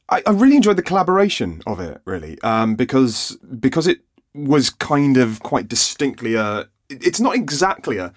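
A man talking casually on a podcast about writing an audio drama, enthusiastic and halting. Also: mid-range voice.

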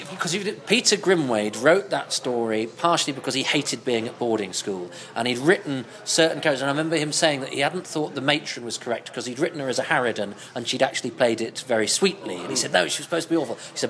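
A man's voice: medium pitch, expressive, quick pace, few pauses, fairly bright tone. A man hosting a casual interview in a pub, chatty and enthusiastic. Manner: chatty, enthusiastic, stumbling restarts. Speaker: host.

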